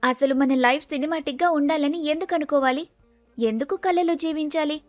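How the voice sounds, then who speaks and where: A sceptical young woman acted by a female storyteller in a moral tale. Her high voice reasons and lectures sensibly, dry and close over faint music. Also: brisk, dry recording, natural voice.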